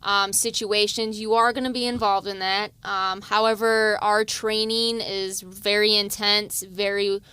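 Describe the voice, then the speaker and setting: Woman police officer, light youthful voice, bright and crisp, dry close sound, soft fillers. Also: mid-range voice, level tone, a little slow, hardly any pauses, no room sound. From West Virginia. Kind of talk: casual interview.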